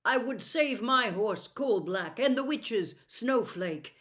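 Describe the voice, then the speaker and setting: A woman's voice: fairly high pitch, animated, takes it slowly, a bit bright. A chivalrous young prince from a storybook, played by a woman. The speech is courtly and formal, projected firmly as if proclaiming. The manner is valiant and noble throughout. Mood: resolute. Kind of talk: audiobook character voice.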